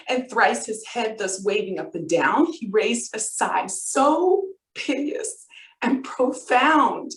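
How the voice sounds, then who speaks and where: Frightened young noblewoman played by a woman, lightly high voice, formal stage verse, measured and dramatic, echoey room. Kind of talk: Shakespearean stage monologue. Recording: roomy, distant microphone.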